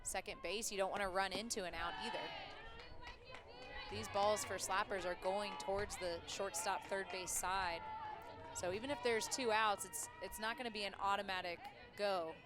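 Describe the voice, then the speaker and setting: Female softball color analyst. Conversational and explanatory, mid-range voice, outdoor ballpark with music behind. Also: medium pitch, steady tone, normal speed. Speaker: color commentator. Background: music, ballpark crowd.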